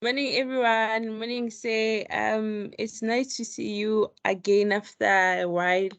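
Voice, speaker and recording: A woman's voice: a little high, steady tone, unhurried, clear, resonant tone. Hesitant woman student. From South Africa. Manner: hesitant, many ums and uhs. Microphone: video call.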